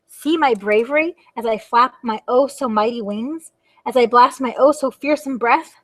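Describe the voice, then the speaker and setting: A little storybook dragon, acted out by a woman, proclaims its bravery in a high, theatrical voice. It is grand and boastful, stretching out its words, yet easily spooked. The sound is dry and close. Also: a bit bright, no room sound, poor audio. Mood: proudly boastful. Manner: grandiose, drawn-out declarations and exclamations. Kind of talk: storybook read-aloud.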